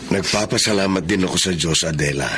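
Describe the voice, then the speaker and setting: A man's voice: low-pitched, brisk, a bit bright, a little hiss or hum. A man acting as a grateful, heartfelt father freed from prison in a Tagalog radio drama. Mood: relieved, grateful.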